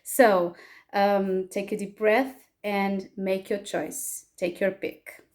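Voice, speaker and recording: A Portuguese-accented woman, a native Portuguese speaker, leads a tarot reading in English. She talks slowly and on a fairly flat pitch, pausing often on fillers and restarting her sentences. Her voice sits at a middle pitch for a woman. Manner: hesitant, many fillers and restarts. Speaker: tarot reader and astrologer. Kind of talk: casual pick-a-card tarot reading.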